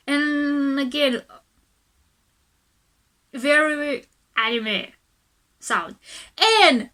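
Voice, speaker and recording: A woman hosting a music reaction video, talking calmly and softly, often pausing and restarting her sentences. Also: a little high. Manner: calm, soft-spoken, with hesitant restarts. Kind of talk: casual music reaction commentary.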